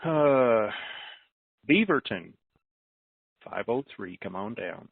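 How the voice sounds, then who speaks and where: Casting: American male conference-call host, lowish voice, repeats words for emphasis, thin telephone sound. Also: expressive, slow, vocal fry, husky, no echo. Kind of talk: informal talk to callers on an investment conference call. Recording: narrow, tinny phone line.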